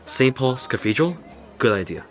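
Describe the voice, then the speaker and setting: A woman voicing an older male professor in a radio play, calm and commanding. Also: rumbling low, normal speed, soft background music. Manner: calm and commanding, urgent in danger. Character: older male professor Hainsworth, steady protector.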